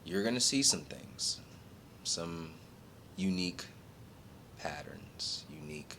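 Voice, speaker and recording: Deep, slow-talking man in ASMR role play. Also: lively intonation. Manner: unhurried, reassuring, speaks in short phrases with pauses. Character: calm, reassuring male recruiter of a secret magical order. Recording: clearly noisy, low rumbling background.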